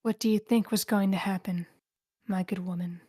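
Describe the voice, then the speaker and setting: An eerily calm, menacing woman played by a woman in a screenplay read. Her tone is dark and mellow, cold and mocking. The sound is dry and close. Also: mid-range voice, normal speed, husky, dry recording, close to an everyday voice. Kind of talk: screenplay table read acting.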